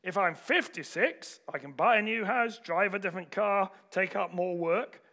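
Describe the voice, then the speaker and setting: Man quoting a vain, high-voiced older man. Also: moderate pace, bright, forceful tone. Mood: boastful, insistent. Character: seventy-six-year-old man, vain, pleading to be legally younger.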